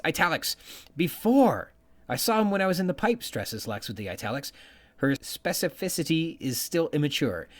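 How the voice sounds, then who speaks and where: Male podcast host, medium pitch with very animated intonation, bookish, reading analytical notes and quotations. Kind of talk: literary commentary reading from prepared notes, quoting passages.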